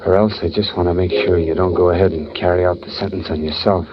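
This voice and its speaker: A man's voice: low voice, steady tone, fast, noisy background, close to an everyday voice. An actor playing a lonely, fearful condemned man, narrating his last hours in plainspoken, brooding first person for a vintage radio drama. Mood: grim, reflective. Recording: muffled old radio recording with music.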